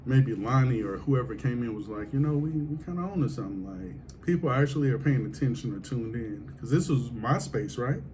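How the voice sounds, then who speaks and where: Laughing joking man with a deep voice. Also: fairly quick, hardly any pauses. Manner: laughs often, joking and animated. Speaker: podcast host. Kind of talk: casual interview chat. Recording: noisy background.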